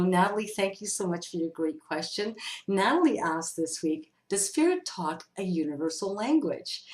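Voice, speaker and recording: Low-voiced woman hosting a chatty advice vlog. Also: a little mellow. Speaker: vlog host, psychic medium. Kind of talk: casual question-and-answer talk to viewers.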